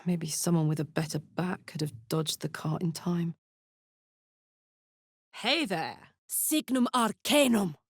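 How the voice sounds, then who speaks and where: A woman's voice: medium pitch, no echo, clean studio sound, lightly acted. An actress playing a bold, hearty young female soldier in a video game, brash and slangy with a playful streak. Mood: wry, reflective. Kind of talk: expressive video game voice acting.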